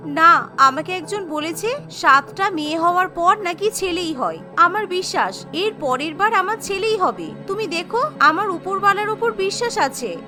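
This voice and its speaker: A woman's voice: very high voice, expressive, normal speed, music in the background. A woman voicing a desperate mother in a cartoon, with a pleading, lamenting, dramatic delivery. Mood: stubbornly hopeful. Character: desperate tearful mother longing for a son. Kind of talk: melodramatic cartoon voice acting.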